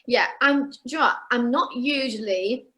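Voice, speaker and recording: Chatty woman with a fairly high voice. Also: normal speed, pushed and bright. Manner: chatty, with frequent restarts and fillers. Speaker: guest singer and actress. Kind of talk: casual interview chat.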